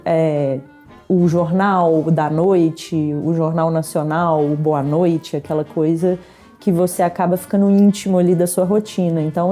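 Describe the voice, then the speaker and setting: A woman's voice: lowish voice, dark tone. A woman with a Brazilian accent, speaking as a researcher in reflective interview talk.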